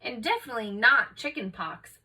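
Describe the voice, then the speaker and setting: A woman voicing brisk, confident medical specialists in a storybook, clipped and matter-of-fact. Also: on the high side, expressive, sharp tone, breathy edge, heavily acted. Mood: decisive.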